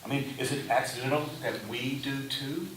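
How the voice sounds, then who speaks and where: A man preaching a sermon in a deliberate way, with rhetorical questions and emphatic short phrases. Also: medium pitch, steady tone, a bit bright. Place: reverberant indoor room before a congregation. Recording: echoey room, distant mic, faint hiss.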